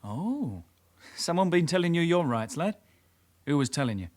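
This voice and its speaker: A man's voice: on the high side, sing-song, normal speed, darkish tone. An actor playing an insistent detective constable in a radio drama, probing and condescending as he questions a suspect.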